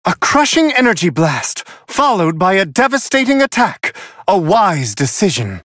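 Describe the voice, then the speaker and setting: A man voicing a serene, refined angel attendant who gives polite, flowery, amused fight commentary in a video game, in a high, airy voice. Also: big pitch swings, moderate pace, a bit bright, dry recording, clean studio sound, heavily acted. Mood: impressed, courtly. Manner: polite, flowery, genteel phrasing.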